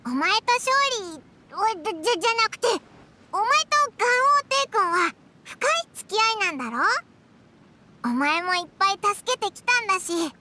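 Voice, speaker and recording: Little sprite companion, anime game, squeaky high voice, swooping intonation, chirpy, loyal, informal. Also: female voice, very animated, moderate pace, sharp tone, slight background noise, full character voice. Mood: earnest, encouraging. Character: small childlike floating sprite companion, cheerful and loyal. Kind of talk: anime-style game voice acting.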